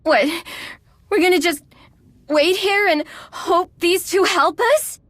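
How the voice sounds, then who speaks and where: Game casting: young fugitive inventor, very high, earnest, anxious, closely recorded. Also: female voice, slow pace, a bit bright, dry recording, heavily acted. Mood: doubtful, upset. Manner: urgent, emotional, earnest. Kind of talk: video game voice acting.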